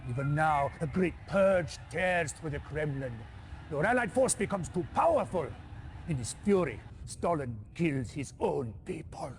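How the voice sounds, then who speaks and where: An actor playing a grave male informant in a game cutscene, who speaks haltingly and dramatically and drops to a whisper. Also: a little high, animated, slight background noise. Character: grave male informant warning of a missile threat. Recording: game soundtrack music underneath.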